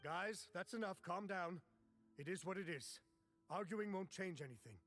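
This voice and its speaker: A man's voice: high-pitched, expressive, normal speed, fairly bright tone, soft background music. A man voicing a weary, firm peacemaker among crooks in a video game, with echoing reverb. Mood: firm, pleading.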